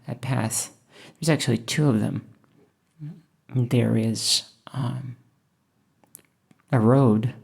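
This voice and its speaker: A woman from Russia, thoughtfully talking over her painting process in a hesitant, musing way with many pauses. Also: extremely low pitch, vocal fry, darkish tone. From Moscow, Russia. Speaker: painter and channel host. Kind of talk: reflective voice-over while painting.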